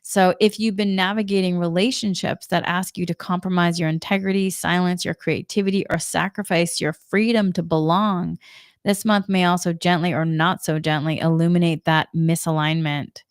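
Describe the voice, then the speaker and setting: A middle-aged woman with a Boston accent gives gentle, reflective spiritual guidance, often repeating her questions. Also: fairly low pitch, fairly even intonation, moderate pace, no room sound, studio quality. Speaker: spiritual energy guide, Reiki practitioner.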